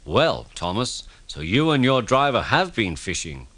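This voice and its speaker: A man's voice: lowish voice, big pitch swings, unhurried, fairly bright tone, heavily acted. A man playing a pompous, genially scolding older railway boss in a children's storybook. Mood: mildly scolding.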